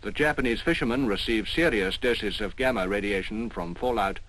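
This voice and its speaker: A man's voice: on the low side, normal speed, fairly bright tone, rough recording. A man narrating an instructional film in a formal, explanatory manner.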